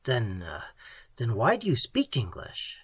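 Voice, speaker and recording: A man's voice: mid-range voice, huge pitch swings, fairly bright tone, exaggerated voice. A man voicing a blunt, wry American explorer who grunts his lines and stammers when he is rattled. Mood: halting. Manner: gruff, grunting, halting stammer.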